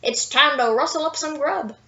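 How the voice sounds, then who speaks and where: A doting, quirky dog owner from a storybook, voiced by a woman, gushes in a high, exaggerated sing-song. The folksy fondness is laid on thick. The recording sounds slightly dull and homemade. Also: moderate pace, sharp tone. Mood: jolly, folksy.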